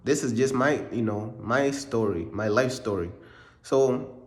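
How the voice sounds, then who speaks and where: A young man from Uganda tells a story in a loose, rambling way, dropping "bro" into nearly every sentence. His voice sits in a middle pitch, and an echoey room rings around him. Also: unhurried. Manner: laid-back, rambling, says "bro" constantly. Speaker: faith vlogger. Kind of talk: casual storytelling.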